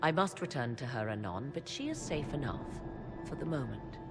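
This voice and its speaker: An enigmatic sorceress from a fantasy game, haughty and theatrical. Her diction is archaic and measured, with sly pauses. Music plays clearly behind her. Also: very deep voice, big pitch swings, heavily acted. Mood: grave, measured. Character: enigmatic, haughty sorceress, arcane advisor at court. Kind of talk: fantasy game cutscene acting.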